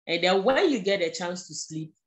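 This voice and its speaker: A woman's voice: lowish voice, lively intonation, moderate pace. A woman with a Ghanaian accent, for whom English is not her first language, gives a patient live-streamed talk, repeating key points and checking in with "okay". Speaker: guest speaker on mental health.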